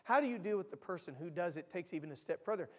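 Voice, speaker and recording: An American man preaching a sermon to his church, earnest and repetitive, swelling loud on key lines. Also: high voice, animated, quick pace, long reverb tail. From United States. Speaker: pastor. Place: church sanctuary.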